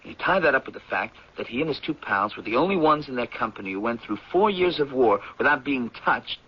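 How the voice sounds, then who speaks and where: Husky, earnest professor in vintage radio drama. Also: male voice, medium pitch, lively intonation. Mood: earnest, musing. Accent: American. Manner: earnest, persuasive, deliberate when reading aloud. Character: eager, idealistic male anthropology professor chasing a theory. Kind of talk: 1970s American radio drama acting. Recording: dull, lo-fi vintage radio recording.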